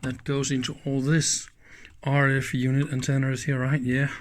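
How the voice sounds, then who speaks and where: A man's voice: mid-range voice, completely flat delivery, moderate pace, a little hiss or hum. A man doing casual, hands-on teardown commentary, thinking aloud and openly admiring the equipment. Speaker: electronics teardown host.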